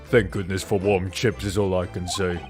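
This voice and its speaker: A man voicing a weary, put-upon working-class British police inspector in a video game, gruff and grumbling. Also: deep voice, flat delivery, moderate pace, music in the background, lightly acted.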